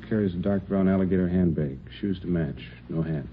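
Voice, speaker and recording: An actor playing a brisk, weary police detective in a 1950s radio drama. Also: male voice, sits low, natural voice. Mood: businesslike. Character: brisk, weary male robbery squad detective. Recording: noisy, muffled old radio recording.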